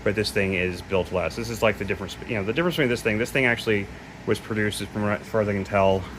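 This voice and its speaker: Low-voiced man, noisy casual demo. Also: quick pace. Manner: hesitant, loose, admits gaps in knowledge. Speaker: presenter demonstrating audio equipment. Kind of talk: casual unscripted product demo. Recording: clearly noisy home recording.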